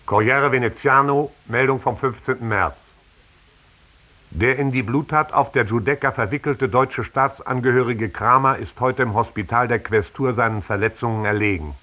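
A man's voice: medium pitch, normal speed, bright, forceful tone, lightly acted. An actor playing a stern man reading newspaper text aloud formally and emphatically in a 1960s radio drama. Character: stern male newspaper reader reciting editorials and reports.

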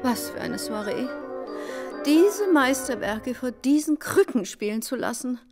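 A scheming older society hostess in a radio drama. She is imperious and scornful, dropping into conspiratorial hushed endings. Her pitch swoops widely, with faint music behind her. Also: lively intonation, a little slow. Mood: scornful, weary. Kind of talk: German radio play acting.

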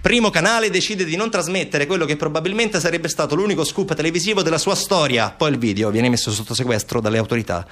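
A man's voice: a little high, fast talker, few pauses, fairly bright tone. A man performing a narrative stage monologue as an actor, dramatic yet conversational, posing rhetorical questions.

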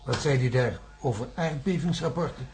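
A man's voice: mid-range voice, normal speed, darkish tone, close to an everyday voice. An actor playing a matter-of-fact, resigned male scientist who reasons pragmatically in a science fiction radio drama.

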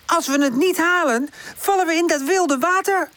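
A woman's voice: very high-pitched, normal speed, fairly bright tone, voice pushed up. A woman voicing a protective, brave but nervous older boy puppy in a children's storybook, sometimes hesitant. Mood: worried. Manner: stammers and hesitates when worried.

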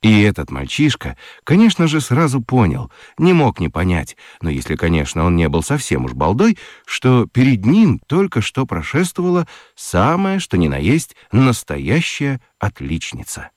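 A man reading a children's story aloud in a studio, telling it in an animated, playful way. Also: normal speed, hardly any pauses, no room sound. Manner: animated, playful storytelling with wide pitch swings. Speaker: narrator. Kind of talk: scripted audiobook narration for children. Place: radio studio.

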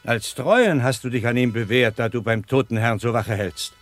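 An actor playing a grave, commanding noble warlord in a radio drama, solemn and declamatory. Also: male voice, medium pitch, normal speed, faint music behind, natural voice. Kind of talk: classic stage-like radio drama acting.

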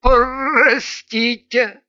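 A woman voicing a meek, tipsy intellectual man in a story, squeaking and wailing his words in a gushing way. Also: fairly even intonation, bright, forceful tone, no room sound, dull audio, exaggerated voice. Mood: bashful. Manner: squeaky, wailing, slow drunken delivery.

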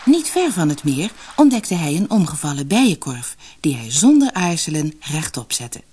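A woman narrating a fairy tale for an audiobook in an expressive, dramatic storytelling manner. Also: sits low, normal speed, husky.

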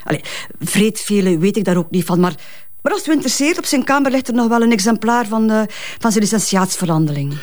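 A woman's voice: medium pitch, animated, fast, darkish tone, natural voice. An actress playing a grieving, protective mother in a Flemish crime drama, speaking hesitant, colloquial West Flemish with repeated words. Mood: wistful, fond.

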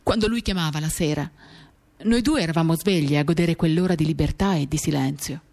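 A woman giving a measured, expressive dramatic reading of a first-person novel, pausing as she tells it. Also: sits low. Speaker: reader of a literary work. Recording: acoustic guitar music behind the voice. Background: acoustic guitar accompaniment.

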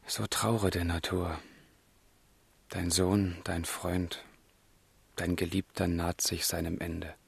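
A low, very husky male voice with creak in it. a tormented young lover in a literary radio play, declaiming slowly and fervently, as one resolved to die. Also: no echo, full character voice. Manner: slow, fervent, brooding declamation. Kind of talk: literary radio drama acting, declaimed monologue.

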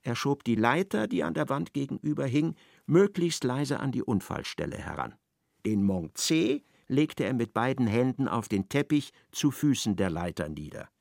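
Male radio-play narrator, measured and ironic, gravelly voice, dry studio sound. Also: mid-range voice, very animated, darkish tone, dry recording.

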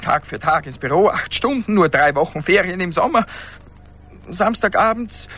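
A man's voice: high-pitched, lively intonation, moderate pace, pushed and bright, exaggerated voice. An actor in a German radio play playing a weary, aimless office clerk, hesitant and apologetic in the way he talks. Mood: weary, complaining.

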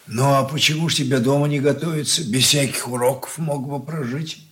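An older actor playing a stern, bewildered old father in a radio drama, with a weighty, halting manner. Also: mid-range voice, steady tone, a bit bright, close to an everyday voice. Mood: bewildered, sad. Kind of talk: Soviet radio play acting.

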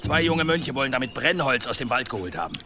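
An actor playing a gruff, impatient village blacksmith in a historical radio play, blunt, earthy and irritable. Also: male voice, medium pitch, lively intonation, fast talker, music in the background, close to an everyday voice. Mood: bitter.

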